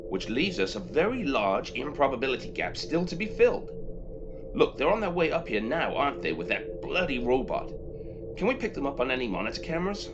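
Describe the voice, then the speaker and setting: A man's voice: mid-range voice, very animated, a little fast, lightly acted. A man playing a cocky, impatient spaceship rogue in an amateur audio drama, swaggering, slangy and easily exasperated. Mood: brisk, intent. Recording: dull lo-fi audio, background noise.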